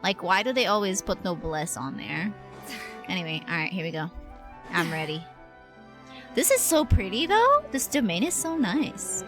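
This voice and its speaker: A woman's voice: mid-range voice, big pitch swings, moderate pace. A young woman livestreaming a game, chatting casually and slangily with viewers. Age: young adult. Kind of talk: casual chat while gaming. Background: game music.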